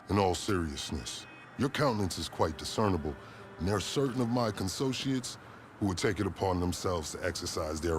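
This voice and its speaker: An actor playing a verbose, sardonic police recruit and schemer in a video game, speaking in pompous, formal phrases. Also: male voice, sits low, background noise, close to an everyday voice. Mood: formal, cautioning. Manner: pompous, wordy formal diction. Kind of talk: scripted video game cutscene acting.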